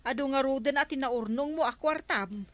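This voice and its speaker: A woman's voice: sits high, normal speed, fairly bright tone, no room sound. An actress with an Ilocano accent playing an inquisitive village woman in a radio comedy drama. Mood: nosy.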